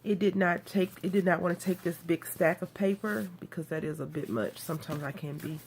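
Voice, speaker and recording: A woman's voice: fairly low pitch, fairly even intonation, brisk, creaky, a little mellow, slight background noise. A woman from Texas, nasal from a cold, chatting and rambling as she walks through making a craft card. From Texas, USA. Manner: chatty, rambling, self-deprecating. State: has a cold. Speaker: craft video host. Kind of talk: casual card-making tutorial.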